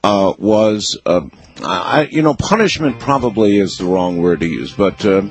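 A man's voice: lowish voice, expressive, measured pace. A man hosting a radio call-in show, jumping in with short questions and prompts while taking callers. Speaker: radio talk show host. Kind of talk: late-night radio call-in chat.

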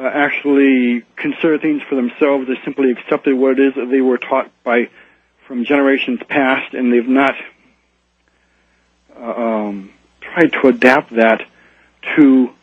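A man appearing as a radio guest over the phone, explaining ideas in a halting way with many ums and repeated words. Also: mid-range voice, dry recording. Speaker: guest author. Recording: phone line, tinny and dry.

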